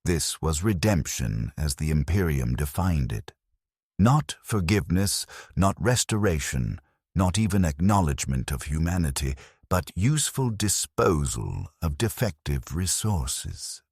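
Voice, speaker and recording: A man giving a solemn, measured, scripted first-person narration of a dark dramatic story. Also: extremely low pitch, sing-song, husky, no echo, clean studio sound.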